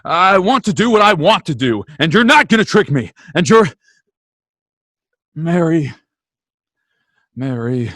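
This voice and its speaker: Radio play lead, male actor: idealistic, hot-tempered young man, fairly high voice, impassioned delivery. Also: sing-song, measured pace, long pauses, fairly bright tone, dry recording, studio quality, exaggerated voice. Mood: defiant. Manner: emphatic, impassioned. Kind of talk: old-time radio drama acting.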